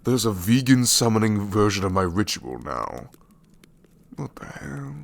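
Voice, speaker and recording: A man's voice: on the low side, big pitch swings, a little slow, vocal fry, full character voice. A man voicing a sassy, exasperated ancient male shadow demon in an ASMR roleplay, sarcastic and incredulous. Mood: exasperated sarcasm.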